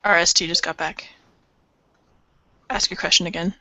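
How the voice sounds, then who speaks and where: A woman's voice: on the low side, steady tone, moderate pace, pushed and bright, dry recording. A woman playing a tabletop roleplaying game, speaking casually in character over a lo-fi voice chat. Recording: noisy, muffled lo-fi voice chat, clicks.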